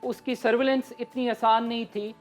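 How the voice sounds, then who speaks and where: A woman's voice: fairly high pitch, fairly even intonation, moderate pace, a little mellow, faint music behind. A woman with a Pakistani accent explaining current affairs emphatically as a studio analyst. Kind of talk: live political commentary.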